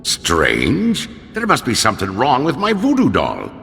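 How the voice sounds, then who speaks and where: Gravelly undead pirate villain, theatrical and gloating, slow-rolling delivery, cavernous reverb. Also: male voice, mid-range voice, wildly swooping pitch, fairly bright tone, soft background music, heavily acted. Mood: puzzled, grumbling. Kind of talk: video game character acting.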